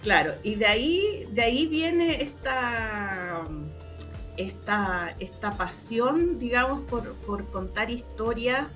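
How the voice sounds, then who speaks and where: A woman's voice: fairly high pitch, expressive, slow, a bit bright, soft background music. A middle-aged woman with a Chilean accent from the O'Higgins region, reminiscing casually as an interview guest, often repeating her words as she tells stories.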